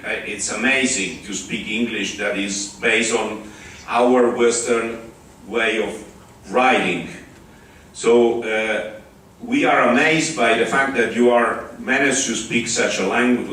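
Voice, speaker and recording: A man with an Italian accent giving a conference talk, pausing often with fillers and restarts. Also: mid-range voice, sharp tone, slight background noise. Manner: halting, with many restarts and fillers. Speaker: conference speaker. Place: large conference hall. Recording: echoey hall, far from the mic.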